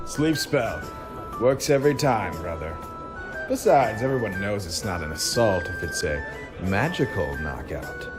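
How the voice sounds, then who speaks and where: Man playing a smug, low-voiced spellcaster. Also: expressive, unhurried. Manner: boastful, matter-of-fact. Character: smug, roguish male spellcaster in a tavern. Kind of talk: fantasy radio drama acting. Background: music.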